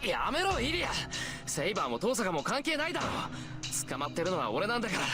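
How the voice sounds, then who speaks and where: Visual novel role: a stubborn, earnest teenage boy, very fast and animated, over game music. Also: sits high. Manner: earnest, pleading, scolding.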